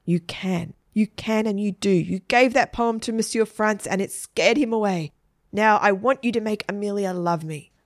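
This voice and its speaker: A woman's voice: medium pitch, normal speed, dry recording, clean studio sound, natural voice. A woman voicing an awkward, lovesick schoolboy in a story, by turns insistent and fumbling. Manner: insistent, fumbling when embarrassed.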